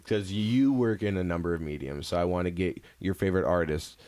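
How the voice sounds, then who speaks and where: A man's voice: low-pitched, fairly quick, darkish tone. An American man hosting a podcast, asking questions and chiming in with informal, slangy interjections. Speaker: podcast host. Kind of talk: casual studio interview.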